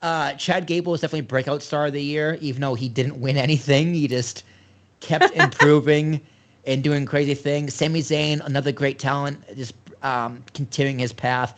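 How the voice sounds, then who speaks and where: Excited male podcast co-host. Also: on the high side, normal speed. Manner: enthusiastic, loose fan talk with fillers. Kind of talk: casual chat.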